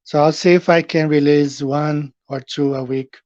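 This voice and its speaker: Brazilian-accented male streamer, middle-aged, higher voice, dry dull sound, loose rambling delivery. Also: steady tone, normal speed, a little mellow, no echo. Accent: Brazilian Portuguese. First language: Portuguese. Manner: rambling, with frequent fillers and questions to viewers. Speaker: live stream host. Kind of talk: casual live stream chat with viewers. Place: home, in Japan.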